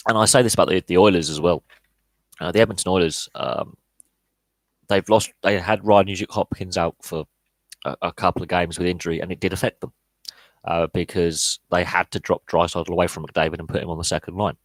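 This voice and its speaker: A man's voice: sits low, steady tone, fairly quick, no echo. A man in his thirties hosting a live podcast Q&A, talking casually with many fillers and restarts. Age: 30s. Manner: rambling, frequent fillers and restarts. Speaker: podcast host.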